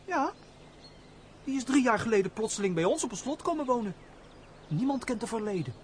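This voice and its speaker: A man's voice: very high-pitched, very animated, fairly quick, a little hiss or hum. An actor playing a naive, chatty young man, a steward's simple son, in a radio play.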